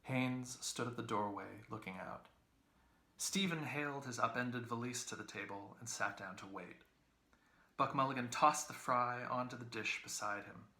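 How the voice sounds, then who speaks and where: A man reading literary prose aloud as narration, with expressive, deliberate phrasing. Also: medium pitch, reverberant. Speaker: reader, English professor.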